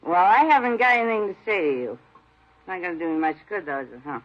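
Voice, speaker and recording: A woman's voice: on the low side, big pitch swings, fast. An actress in a 1950s radio crime drama playing a defiant, sarcastic woman suspect who talks in slangy, challenging questions, on a dull vintage recording. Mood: sullen, tipsy.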